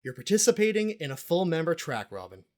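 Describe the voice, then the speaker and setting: An actor playing a self-important cult expert and investigator in an audio drama, speaking in a pompous, dramatic way with deliberate pauses. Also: medium pitch, big pitch swings, a little mellow, lightly acted. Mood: grave, dramatic. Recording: music playing under the voice.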